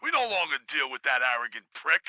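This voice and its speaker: A bright, piercing villain for a video game, a swaggering male gang leader. His pitch sits high and he delivers every line as a theatrical taunt, full of grievance and cocky menace. The pitch swings widely. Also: big pitch swings, fast talker, no echo, full character voice. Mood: bitter, aggrieved.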